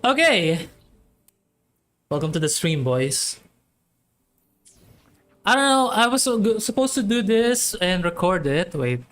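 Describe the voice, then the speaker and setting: A young adult game streamer with a mid-range voice talks slowly and loosely. The style is casual, playful livestream chatter that rambles along. Faint music sits behind the voice. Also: medium pitch, big pitch swings, a bit bright. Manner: rambling, playful, singsong exclamations. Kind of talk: casual livestream chat while playing. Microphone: streaming microphone.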